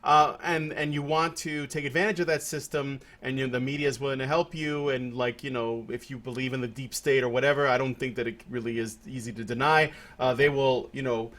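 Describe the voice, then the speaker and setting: A man's voice: mid-range voice, steady tone, a little fast, hardly any pauses, fairly bright tone. A man hosting a casual podcast, talking loosely with lots of restarts and fillers. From Puerto Rico. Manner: rambling, many restarts and fillers. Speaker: podcast host. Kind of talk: casual chat.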